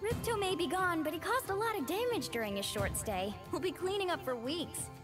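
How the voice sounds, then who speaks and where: A woman's voice: very high-pitched, expressive, fairly quick. An actress voicing a friendly, earnest young faun woman in a video game. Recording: game audio with music behind.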